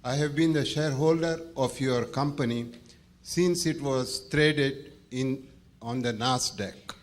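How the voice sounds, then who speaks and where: A man asks his question from the floor of a shareholder meeting. His voice sits at a middle pitch, and his phrasing is formal, elaborate and a little halting. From India. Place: large meeting hall.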